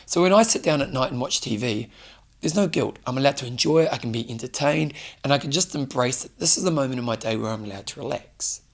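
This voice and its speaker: A man giving an earnest solo coaching talk, hoarse from losing his voice. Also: medium pitch, animated, quick pace. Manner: earnest, coaching, direct questions to listener. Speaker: fitness show host. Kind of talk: solo motivational talk to camera.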